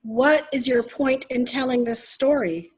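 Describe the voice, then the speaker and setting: A woman playing a critical, pedantic, dryly scornful character in readers theater, pointed and deliberate. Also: fairly high pitch, breathy edge.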